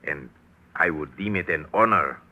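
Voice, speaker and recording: An actor playing a courtly, smooth-talking gentleman in an old radio drama. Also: low-pitched, moderate pace. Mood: suave. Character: smooth suave gracious male aristocrat.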